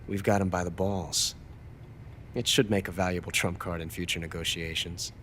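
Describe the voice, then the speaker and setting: A calm scheming double agent. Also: male voice, deep voice, slight background noise. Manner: calm, measured, conspiratorial. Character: scheming male double agent reporting by phone. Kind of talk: video game cutscene dialogue. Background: game music score.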